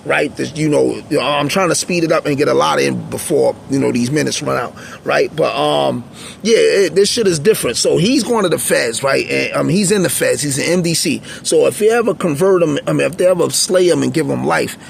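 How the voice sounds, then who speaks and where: Raspy gravelly dude, kinda high voice, blunt street talk, just rambling to the camera. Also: male voice, lively intonation, a little fast, a little hiss or hum. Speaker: channel host, former prisoner. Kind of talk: casual unscripted monologue.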